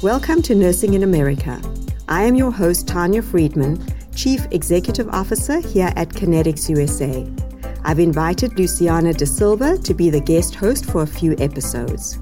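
Lady host, lowish voice, reading a formal intro, music loud behind her. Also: lively intonation, moderate pace. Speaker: show host. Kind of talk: scripted introduction.

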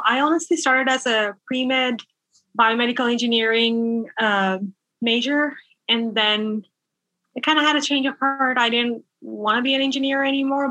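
Young woman from bangladesh, bright and kinda high, chatty with lots of ums, dry close sound on a video call. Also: no echo, low-quality recording. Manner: chatty, laughs often, many fillers. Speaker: nurse guest. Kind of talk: casual interview chat.

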